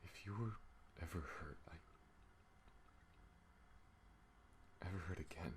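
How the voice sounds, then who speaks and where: A man playing a protective spaceship pilot on the run in an intimate ASMR audio roleplay, speaking earnestly and haltingly. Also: low voice, slight background noise, lightly acted. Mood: tender, hesitant.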